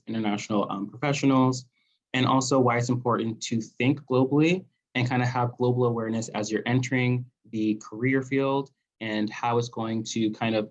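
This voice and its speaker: A young man hosting a virtual panel as program coordinator, relaxed and using many fillers, over a video call. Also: mid-range voice. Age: young adult. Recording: dull lo-fi call audio.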